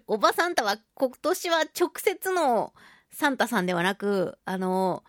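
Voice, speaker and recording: A woman with a fairly high voice hosts a chatty solo radio show. She tells everyday stories in an animated, exclamatory, giggly manner. The sound is close and very dry, as from a studio microphone. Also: slow pace, no echo. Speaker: radio talk show host; voice actress. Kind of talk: chatty solo radio monologue about daily life.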